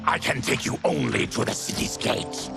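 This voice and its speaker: An actor voicing a gruff, honorable mutant warrior in a video game, with a growling, gravelly voice and a terse, solemn, commanding manner. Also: male voice, moderate pace, over loud music, lightly acted. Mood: grave, calm. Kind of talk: video game cinematic acting.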